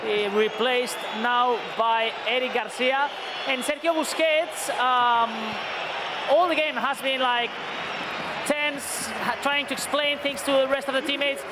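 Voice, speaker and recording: A man with an extremely high voice reports on a live football match. He explains play haltingly, in non-native phrasing, with clear noise behind him. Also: fairly even intonation, over a noisy crowd.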